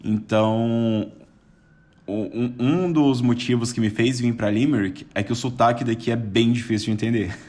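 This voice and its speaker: A man's voice: medium pitch, steady tone, moderate pace, darkish tone. A young Brazilian man as a podcast guest, chatting casually with lots of restarts and the odd laugh. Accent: Brazilian Portuguese.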